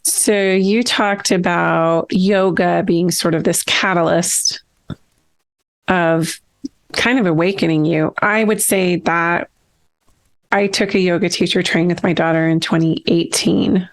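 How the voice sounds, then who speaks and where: A woman's voice: lowish voice, fairly even intonation, a little slow. A middle-aged woman hosting an interview, thinking aloud in long, winding, reflective sentences. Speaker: podcast host. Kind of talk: casual interview chat.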